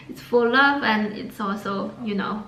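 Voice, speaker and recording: A young woman with a Chinese accent talks slowly and haltingly, with many pauses and fillers. Her voice rings in an echoey room over a faint hum. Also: mid-range voice, bright, forceful tone. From China. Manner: hesitant, many fillers and restarts. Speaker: vlogger. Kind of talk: casual talk to camera about language learning.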